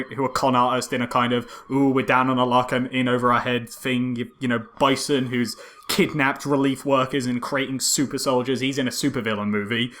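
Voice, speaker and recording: A man's voice: mid-range voice, fairly bright tone. Casual man chatting as a podcast co-host. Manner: rambling, often repeats phrase starts. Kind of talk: casual film review chat.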